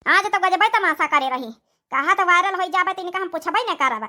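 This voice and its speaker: A woman's voice: extremely high pitch, normal speed, pushed and bright. A woman voicing an aggrieved older village mother-in-law with an Awadhi accent, complaining and scolding in a cartoon comedy. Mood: grumbling. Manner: complaining, nagging.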